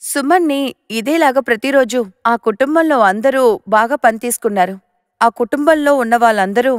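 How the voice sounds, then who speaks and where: A woman's voice: sits high, no echo, studio quality. A woman reading scripted moral-story narration as the narrator.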